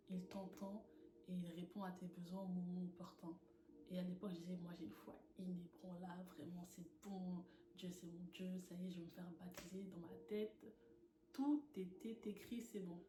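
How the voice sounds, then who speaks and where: A young woman from France sharing her personal faith testimony in an earnest, animated way, laughing often. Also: fairly low pitch. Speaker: testimony speaker. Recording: echoey room with noise.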